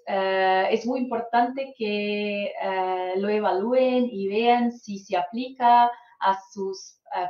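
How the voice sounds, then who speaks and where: Slow, hesitant woman with a Brazilian accent. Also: mid-range voice. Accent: Brazilian Portuguese. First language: Portuguese. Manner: hesitant, frequent "eh" fillers. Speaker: psychologist giving a talk. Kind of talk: live online lecture. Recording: roomy, dull lo-fi stream audio.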